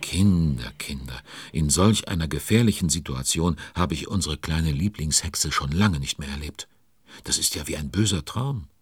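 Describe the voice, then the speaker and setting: A man's voice: moderate pace, no echo, clean studio sound. A man narrating a children's audio drama as an avuncular, fretting storyteller, full of exclamations and questions to young listeners. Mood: concerned. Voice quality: very deep, husky.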